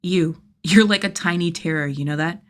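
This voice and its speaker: A woman's voice: fairly low pitch, normal speed, natural voice. A woman playing a sardonic, slangy young male vigilante. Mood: teasing. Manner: casual, slangy, sweary, teasing. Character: gruff, sardonic young man vigilante, wryly fond of kids.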